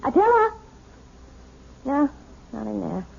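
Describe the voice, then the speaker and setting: A woman's voice: high voice, huge pitch swings, slow, gravelly, a little mellow, exaggerated voice. An actress playing a sweet-talking, secretly sinister married woman in a theatrical, coaxing radio drama style. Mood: searching, cooing. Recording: dull, noisy vintage radio recording.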